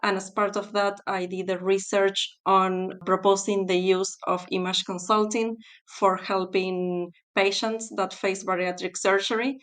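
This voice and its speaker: Smooth-voiced woman. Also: medium pitch, flat delivery, a bit bright. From Argentina. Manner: thoughtful, with fillers and pauses. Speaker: guest, textile specialist. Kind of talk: podcast interview.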